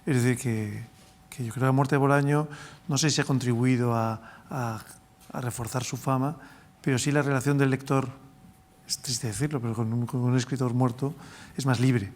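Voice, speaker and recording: Man, literary critic, reflective, middle pitch, panel talk. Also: fairly even intonation, normal speed, a little mellow. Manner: reflective, asks tag questions. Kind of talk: panel discussion.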